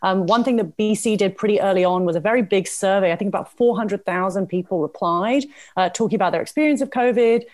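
Woman, British accent, rapid pace, medium pitch, articulate, explanatory, conversational panel talk. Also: darkish tone. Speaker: guest expert on public health communication.

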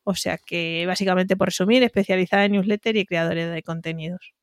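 A woman's voice: lowish voice, steady tone, brisk, clean tone, dry recording, clean studio sound. A young woman from Spain with a southern Spanish accent, a guest chatting casually in an interview, laughing easily and using many fillers. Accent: southern Spanish, between Extremadura and Córdoba. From Spain, near Extremadura and Córdoba. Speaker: guest, newsletter and content marketer.

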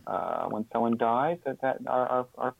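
A fifty-year-old man speaking as an interview guest, reflective and earnest, often halting and repeating words as he thinks. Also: medium pitch, vocal fry, no room sound, phone-quality sound.

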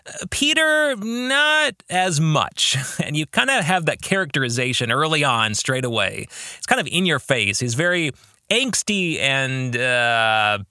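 A middle-aged man hosting a reflective book club podcast, speaking earnestly and deliberately, often posing rhetorical questions. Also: on the high side, big pitch swings, bright, forceful tone, no room sound, clean studio sound. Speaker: podcast host and author.

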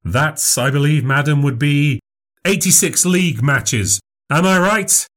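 A man's voice: fairly high pitch, measured pace, a bit bright, pitched above the performer's own voice. A man voicing a formal, eager memory showman who keeps calling people sir and madam. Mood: showy, polite. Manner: showy, overly polite, says "sir" and "madam".

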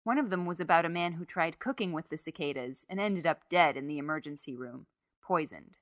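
A woman with a fairly low voice narrates first-person literary fiction. The recording is close and very dry, with no room sound. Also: fairly quick, no room sound. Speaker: short story reader.

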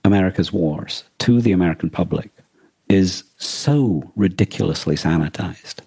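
Male podcast co-host, dark husky tone in a dry close recording, reflective and halting. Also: sits low, no room sound, low-quality recording. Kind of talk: reflective conversational discussion.

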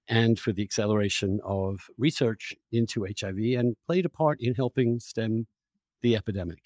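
A man's voice: medium pitch, darkish tone, no echo. Male podcast host in a dry, close recording. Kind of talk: conversational interview.

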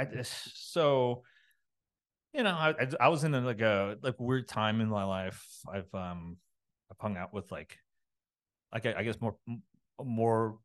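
A man from a Los Angeles suburb, likely in his thirties, talking as a podcast guest in a halting, rambling way full of fillers and restarts. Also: low-pitched. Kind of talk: casual interview talk.

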